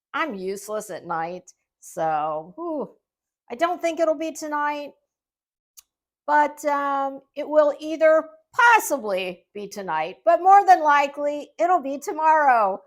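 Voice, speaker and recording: A woman talks slowly through an unscripted commentary. Her delivery is sarcastic and condescending, with emphatic words and dramatic pauses as she addresses her online viewers. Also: high-pitched. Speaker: YouTube commentator. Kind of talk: casual off-the-cuff commentary monologue.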